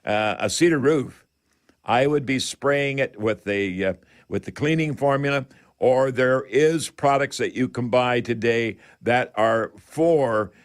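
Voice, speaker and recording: Guy doing home fix-it advice on a radio call-in, rambles along, kinda folksy and halting. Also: mid-range voice. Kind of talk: home improvement advice chat. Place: radio studio.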